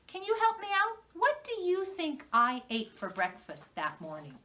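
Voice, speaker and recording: Female preschool teacher, American, unhurried and highly animated storytelling for little learners, heard slightly away from the mic. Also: recorded from a distance. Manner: instructional, question-and-prompt delivery for children. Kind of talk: reading lesson and storytelling for young children.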